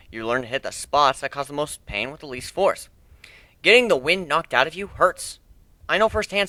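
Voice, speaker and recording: A man's voice: a little high, pushed and bright, no echo. A man reading a dramatic first-person story as a sarcastic, bitter bullied teenage nerd, with emphatic delivery. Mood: cocky. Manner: sardonic, emphatic.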